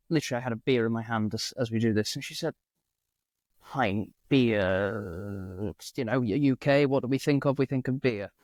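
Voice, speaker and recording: A man's voice: medium pitch, darkish tone, dry recording. A man chats casually as a guest, with a self-deprecating, jokey manner. His voice sits in the middle range. The recording is dry and close, with no room sound. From England, near Manchester. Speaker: guest, English teacher. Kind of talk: casual conversation.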